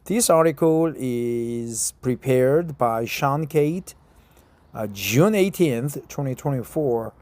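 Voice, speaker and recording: A man's voice: mid-range voice, lively intonation, slow, a little mellow, no room sound. A middle-aged Korean man, a non-native speaker, reading an article aloud in English with a Korean accent, hesitating with many fillers and self-corrections. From South Korea. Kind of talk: casual self-recorded English reading practice.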